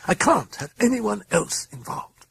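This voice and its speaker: A man's voice: high voice, big pitch swings, unhurried, gravelly, fairly bright tone, slightly rough, pitched above the performer's own voice, full character voice. A man voicing a distressed, weary mother in an audiobook, strained and pleading. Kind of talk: audiobook character voice.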